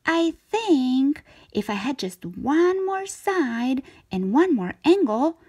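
Woman playing a slow-talking, restless little storybook shape. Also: high-pitched, very animated, darkish tone, voice pushed up, exaggerated voice. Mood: wishful. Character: restless, dissatisfied cartoon shape, eager for more.

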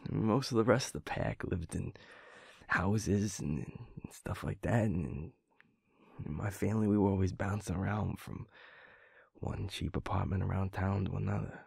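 Male actor as young werewolf boyfriend, intimate audio roleplay: low, gravelly, tender, awed, halting, close and dry. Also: a little mellow, dry recording, full character voice. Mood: reflective, vulnerable. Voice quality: husky, breathy, gravelly. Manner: halting, sweary, emotional murmuring to a partner.

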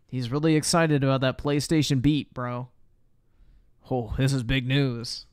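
A man's voice: medium pitch, steady tone, a little slow, clear, resonant tone. A man doing casual live-stream reaction commentary, blunt and slangy with frequent swearing. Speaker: livestreamer reacting to videos.

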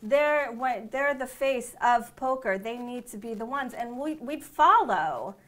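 Chatty New woman, bright voice. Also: on the high side, animated, measured pace. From New York. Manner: chatty, restarts sentences often. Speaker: co-host. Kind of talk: casual panel discussion. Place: open-plan office, indoors.

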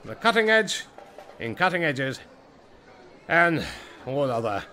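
An actor playing a pompous town crier in a comic audio drama, declaiming proclamations. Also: male voice, fairly high pitch, big pitch swings, pushed and bright, roomy sound, heavily acted. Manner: declamatory, drawn-out delivery.

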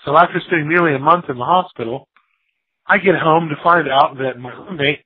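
A man's voice: on the high side, a little fast, slightly rough, no room sound. An American man calling in as a guest, giving a personal account in plain conversational talk over the telephone. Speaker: phone-in guest, comic shop owner. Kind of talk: recounting personal news. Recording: narrow tinny phone line.

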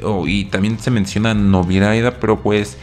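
A man's voice: lowish voice, flat delivery, moderate pace, darkish tone. A man hosting a casual video commentary on a reality show, rambling with many fillers and asides.

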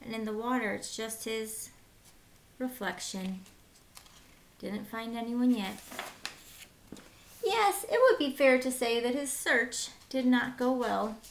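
A woman in her thirties reading a picture book aloud as a teacher, with simple, deliberate phrasing for young children and short asides. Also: on the high side, lively intonation, creaky, a little hiss or hum. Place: small room at home, indoors.

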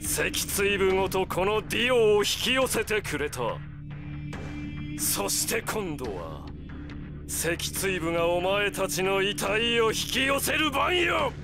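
A man voicing an arrogant, godlike vampire villain in an anime video game, with a theatrical, commanding, drawn-out delivery. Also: high-pitched, animated, music in the background, exaggerated voice. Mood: gloating, commanding.

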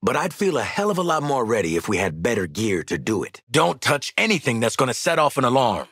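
An actor playing a brash, sardonic, streetwise male marksman in a video game, husky-voiced, barking slangy orders and banter at his squad. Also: mid-range voice, big pitch swings, a little fast, fairly bright tone, no room sound, studio quality, heavily acted. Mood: dry, sardonic. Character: cocky, gruff, streetwise male marksman mercenary leading a squad.

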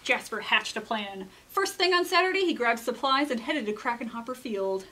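An American woman in her thirties presenting and reading as a children's librarian, expressive and clearly enunciated, with dramatic pauses. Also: a little high. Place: indoor library room.

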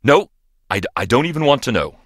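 A man's voice: medium pitch, sing-song, a little fast, fairly bright tone, slightly rough, no echo, studio quality. A man playing a stern, impatient lab director in a video game, bossy and brusque. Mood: irritated.

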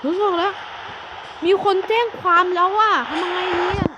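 A man voicing a young male cartoon thief, a frantic big brother who keeps shouting warnings to his little brother. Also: very high voice, level tone, normal speed, lo-fi sound, noisy background. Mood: panicky. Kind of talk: cartoon voice acting.

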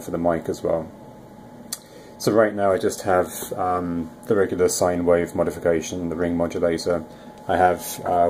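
Very deep, flat-toned man. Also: a little hiss or hum. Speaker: electronics hobbyist presenter. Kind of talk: informal project demo narration.

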